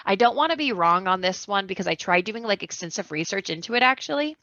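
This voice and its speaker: Bright-voiced woman on a lo-fi podcast mic. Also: medium pitch, lively intonation, quick pace, dry recording. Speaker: podcast guest. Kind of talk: casual podcast chat.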